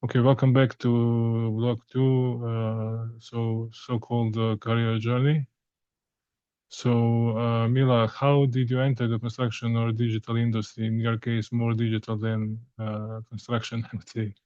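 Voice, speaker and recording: A man hosting an interview podcast, speaking haltingly with many fillers and restarts. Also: mid-range voice, level tone, warm tone, dry recording. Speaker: podcast host. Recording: dull lo-fi sound, dry, faint music.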